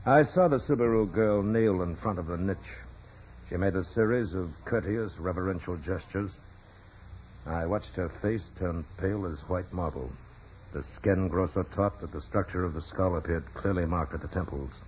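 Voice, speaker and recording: An actor playing a dignified, precise physician with a formal clinical manner in a 1940s radio drama. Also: male voice, deep voice, moderate pace, darkish tone, lightly acted. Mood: earnest, clinical. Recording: dull, noisy vintage radio.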